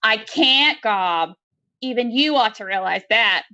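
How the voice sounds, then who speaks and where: A woman's voice: a little high, animated, unhurried, pushed and bright, highs cut off, exaggerated voice. A woman voicing a panicky, resentful male alien insect in a story reading, with wailing, sarcastic complaints. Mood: sarcastic, bitter.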